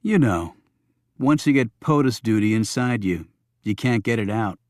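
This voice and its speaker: A man's voice: on the low side, animated, moderate pace, a little mellow, no room sound, studio quality, lightly acted. A man playing an easygoing, friendly Secret Service agent in an audiobook, speaking casually and briefly.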